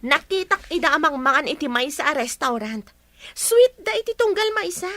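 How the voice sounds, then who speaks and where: A woman's voice: high-pitched, expressive, normal speed, sharp tone, no room sound. A woman playing an eager, guileless young daughter who tattles, in a radio drama, with an Ilocano Filipino accent. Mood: tattling.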